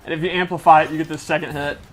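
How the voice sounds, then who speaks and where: A man with a slightly high voice walks through gameplay moves in a casual way. He sounds like a keen developer, explaining mechanics with enthusiasm and slang. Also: level tone, racing, low-quality recording. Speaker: game developer demonstrating fighter moves. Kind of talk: casual gameplay demonstration commentary.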